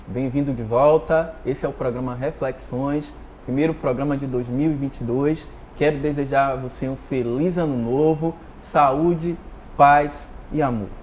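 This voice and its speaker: A man's voice: mid-range voice, fairly even intonation, measured pace, darkish tone, slight background noise, poor audio. A man hosting a talk show, presenting and asking guests questions in a conversational way.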